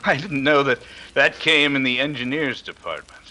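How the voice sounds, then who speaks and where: A man playing a calm, dryly witty detective with an air of authority in a 1930s radio drama. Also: mid-range voice, moderate pace, slight background noise. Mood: wry.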